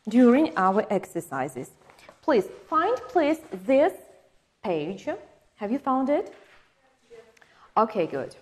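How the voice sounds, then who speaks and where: A woman with a Ukrainian accent teaching an English lesson, patiently asking questions and confirming answers. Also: high voice. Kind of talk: online English lesson for exam prep.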